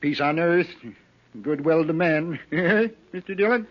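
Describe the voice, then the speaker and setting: A man's voice: fairly high pitch, a little slow. An actor playing a meek, kindly, slightly peculiar town handyman in a 1950s radio western, bashful and polite, earnestly repeating himself. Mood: shyly excited. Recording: muffled 1950s radio recording.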